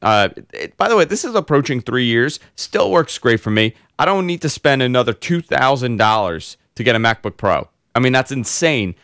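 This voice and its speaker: A man hosting a casual tech podcast, hoarse and congested, telling long rambling stories full of fillers. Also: mid-range voice, normal speed, hardly any pauses, dry recording, studio quality. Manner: rambling, animated storyteller with many fillers. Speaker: host.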